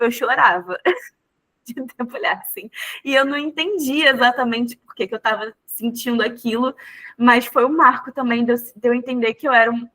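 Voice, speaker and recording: Bright, high-pitched Brazilian woman hosting. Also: low-quality recording. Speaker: podcast host, interviewer. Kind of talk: casual conversational interview.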